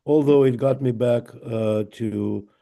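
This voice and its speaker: A man's voice: medium pitch, slow pace, vocal fry, mellow. A man author from the Soviet Union answers questions on a video call with dry, wry humour and short replies. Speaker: author guest. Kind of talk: casual conversation.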